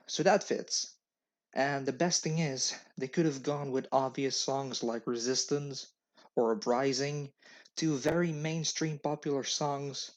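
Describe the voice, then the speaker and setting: A man whose first language is not English, reading a wry, opinionated game review for his channel on a webcam mic. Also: mid-range voice. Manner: opinionated, wry, matter-of-fact. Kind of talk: scripted game review commentary. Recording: dull lo-fi webcam audio.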